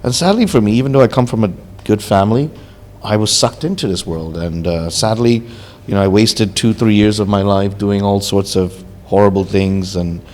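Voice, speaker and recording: A man's voice: lowish voice, normal speed, a little mellow. A young man from Hong Kong, a social worker, speaking as a podcast guest and telling his life story in an earnest, candid way, with a lot of "you know" in between. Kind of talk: conversational interview storytelling.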